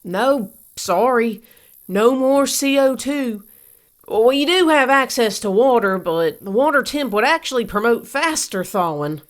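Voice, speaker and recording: A woman's voice: fairly high pitch, animated, measured pace. A woman playing a bemused, matter-of-fact fire chief in an audio drama, sometimes hesitant. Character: bemused, deadpan female fire chief on duty.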